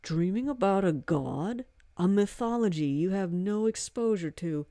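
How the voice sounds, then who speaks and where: Woman playing a bewildered young woman, skeptical, sharp, storybook voice. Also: mid-range voice, lively intonation, a little slow, no room sound, studio quality. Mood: snappish. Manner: sharp and disbelieving.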